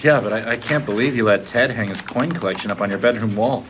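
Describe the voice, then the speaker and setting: An actor reading an exasperated, wry best friend in a sitcom table read. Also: male voice, on the low side, fast, noisy background, lightly acted.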